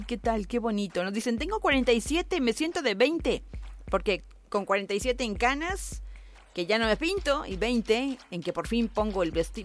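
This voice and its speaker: A woman, hosting a live radio show and reading out listener messages in a chatty way, often laughing and exclaiming. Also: mid-range voice. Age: 40s. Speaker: radio host. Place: radio studio. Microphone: studio microphone.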